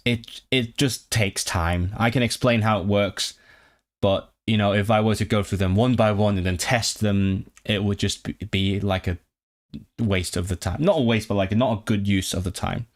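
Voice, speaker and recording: A young man streaming live, chatting casually with viewers about his tutoring work, stopping and restarting as he thinks aloud. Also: lowish voice. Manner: hesitant, many restarts and fillers, thinking aloud.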